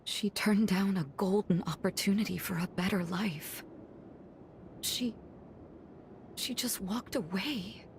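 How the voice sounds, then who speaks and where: An actress playing an anguished, regretful twin sister in a dramatic video game, with halting, emotional delivery. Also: female voice, mid-range voice, a little hiss or hum. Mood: anguished disbelief. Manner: halting, emotional, often dropping to hushed tones. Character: guilt-ridden, anguished twin sister, proud but regretful.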